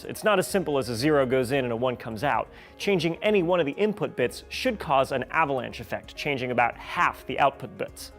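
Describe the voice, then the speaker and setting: A man hosting a scripted science explainer in a wry, playful manner. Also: mid-range voice. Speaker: science show host.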